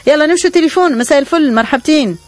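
Brisk Tunisian woman hosting a call-in. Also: high-pitched, dry recording, faint music behind. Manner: brisk, with short affirmations. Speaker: radio host. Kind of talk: radio phone-in hosting.

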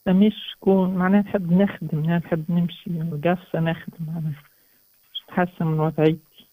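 A man in his twenties with a Tunisian accent, calling a radio show and speaking haltingly about himself over a phone line. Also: a little high, little pitch movement, no room sound. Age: 20s. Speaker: first-time radio caller. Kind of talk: hesitant personal account on a phone-in. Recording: tinny narrow phone line.

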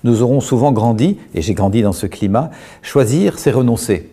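A middle-aged man, speaking as a guest in a calm, measured, reflective way, pausing often and restarting his sentences. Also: medium pitch, slightly rough. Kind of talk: reflective explanatory talk.

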